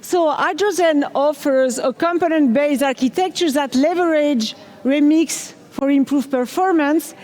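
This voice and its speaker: A middle-aged woman with a French accent presents a technical talk at a conference, upbeat and quizzing the crowd now and then. Her voice sits high. The sound carries the long reverb of a big hall. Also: a little slow, few pauses, darkish tone. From France. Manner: enthusiastic, asks the audience questions. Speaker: conference speaker. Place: large conference hall on stage.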